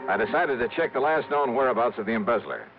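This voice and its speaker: An actor playing a wry, skeptical American insurance investigator in clipped 1950s noir radio style. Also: male voice, medium pitch, animated, fast, background noise, natural voice. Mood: wry narrating. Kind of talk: 1950s noir radio acting and first-person narration. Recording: dull old radio recording, music behind.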